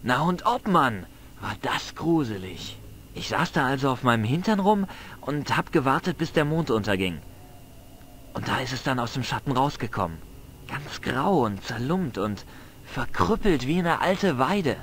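A man's voice: mid-range voice, very animated, moderate pace, a bit bright, slight background noise. A man voicing a cheeky, defiant teenage village boy in a video game, boyish, slangy and cocky, with a flair for dramatic tall tales. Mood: spooky, dramatic.

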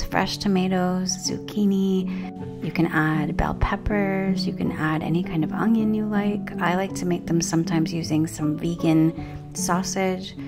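A middle-aged woman chatting casually as a cooking vlogger, friendly and prone to wandering off topic, talking to camera outdoors by a brick wall. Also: on the low side. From Canada.

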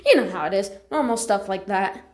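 A woman voicing a friendly, excitable young female house cat with an earnest, slightly hesitant delivery, in amateur cartoon voice lines. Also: mid-range voice, fairly quick, natural voice. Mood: casual, resigned. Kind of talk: amateur character voice lines, acted. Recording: echoey room.

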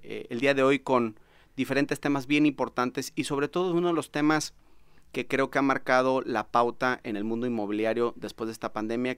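A man's voice: medium pitch, clear, resonant tone, no room sound. A man in his forties with a Mexican accent, hosting a conversational interview podcast at a studio microphone. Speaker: podcast host. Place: small padded podcast studio, indoors. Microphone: studio microphone with headphones.

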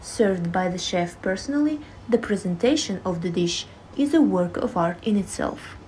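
A woman's voice: medium pitch, animated, normal speed, a little mellow, slight background noise, rough recording. A woman reading scripted narration for a news feature video.

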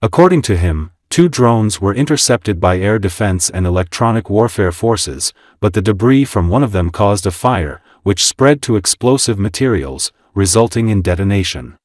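A man reading a scripted news voice-over in a flat, formal reporting manner. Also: on the low side, normal speed, hardly any pauses, dry recording, clean studio sound. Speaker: news narrator.

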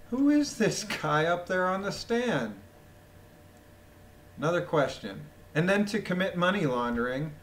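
A man with a phlegmy voice reading a document aloud with casual, incredulous, mocking commentary, often clearing his throat. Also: on the high side, a bit bright, slight background noise. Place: voice-over of a screen recording.

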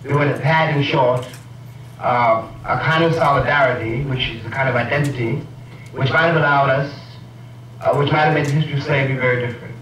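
A man telling a reflective story in a measured, ironic way, heard from an old film clip. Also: medium pitch, fairly even intonation, a bit bright, roomy sound, far from the mic, slight background noise, poor audio. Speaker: speaker in an archival film clip. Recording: old film clip played in a room from afar.

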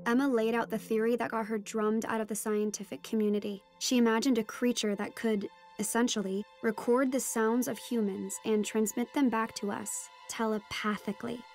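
A teenage girl voiced by an actress recounts her adventure in an audio drama. Her voice is fairly high and her storytelling measured, over a bed of music. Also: level tone, darkish tone, natural voice. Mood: reflective. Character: teenage daughter recounting a deep-sea adventure. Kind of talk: first-person scripted narration. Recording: music behind the voice.

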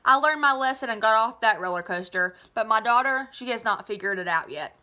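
A woman reader plays a tired mother in a storybook. She is gentle and apologetic, with a resigned, soothing way of talking. The voice is fairly high and clear-toned. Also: expressive, fairly quick.

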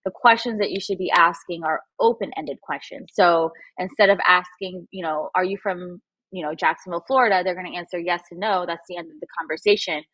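A Spanish-speaking woman in her thirties from Puerto Rico, giving advice in a lively video-call interview through earbuds. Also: fairly low pitch, fairly quick, no echo, poor audio. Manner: animated, acts out quoted voices. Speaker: guest photographer and coach. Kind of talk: casual livestream interview. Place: room at home. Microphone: wireless earbuds, video call.

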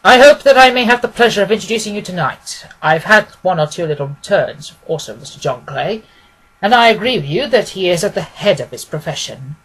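A man's voice: high-pitched, animated, fairly quick, fairly bright tone, husky, heavily acted, poor audio. A man voicing a self-important, blustering police agent in a storybook, lofty and pompous. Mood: grudging admiration. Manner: pompous, consequential.

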